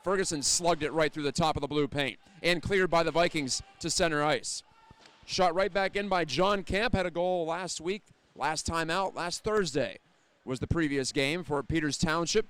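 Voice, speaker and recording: A man's voice: a little high, normal speed, a bit bright, clean tone. An American man doing live play-by-play hockey commentary, describing the action in detail as it unfolds.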